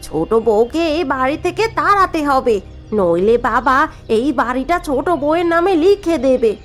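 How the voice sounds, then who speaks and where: A woman's voice: sits high, sing-song, normal speed, faint music behind. A woman voicing a scheming elder daughter-in-law in a cartoon story, sounding confident and conniving. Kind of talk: cartoon voice acting.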